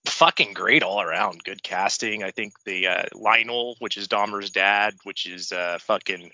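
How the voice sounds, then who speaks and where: A man's voice: lowish voice, moderate pace, pushed and bright, dry recording, muffled recording. A man co-hosting a casual podcast, congested from the flu, laid-back and joking with plenty of swearing. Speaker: podcast co-host.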